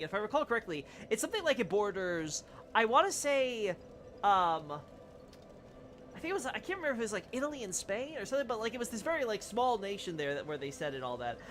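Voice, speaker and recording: A rambling streamer talks through game lore as they play, leaning on "you know" and "right?" at every turn. The voice is bright and forceful, with a faint hiss underneath. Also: mid-range voice. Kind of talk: casual game lore commentary while playing.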